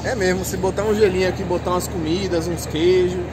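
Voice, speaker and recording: A playful man from Brazil joins his riding companion in casual banter on the roadside. His voice is fairly high. Clear outdoor road noise sits behind him. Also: fairly even intonation, quick pace. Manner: joking, playful. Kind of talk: casual chat. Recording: noisy outdoor roadside sound.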